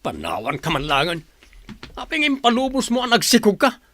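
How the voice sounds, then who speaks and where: A man's voice: high-pitched, huge pitch swings, moderate pace, pushed and bright, slight background noise, full character voice. A man acting a callous, hot-tempered young boyfriend in an Ilocano radio drama. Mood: angry, scornful.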